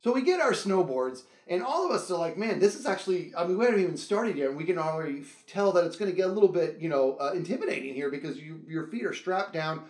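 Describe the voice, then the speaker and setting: Man, high-pitched, very animated, excitable comedic storyteller, dramatic delivery, roomy echo. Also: fast talker, hardly any pauses. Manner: excitable, dramatic, acts out reactions and dialogue. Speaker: storyteller, show host.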